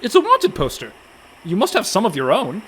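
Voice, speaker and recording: A man's voice: high-pitched, wildly swooping pitch, fairly quick, fairly bright tone, slight background noise, full character voice. An actor playing a fretful, reluctant con man in a comic radio play, full of dry, indignant protests. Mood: alarmed. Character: anxious, reluctant young-sounding con man, male, indignant worrier.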